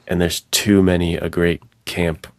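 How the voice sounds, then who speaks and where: A man with a very deep voice and plenty of vocal fry, in a dry, close recording. He talks like a podcast co-host, offering opinions in an informal, slangy way. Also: little pitch movement, measured pace, no echo. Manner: slangy, conversational. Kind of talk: casual chat, offering opinions.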